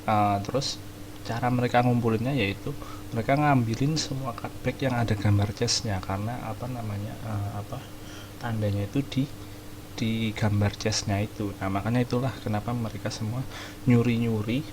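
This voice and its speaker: Low-voiced Indonesian man with noisy backdrop. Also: steady tone, fairly quick. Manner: slangy, informal. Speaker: gaming streamer. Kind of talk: casual gameplay commentary.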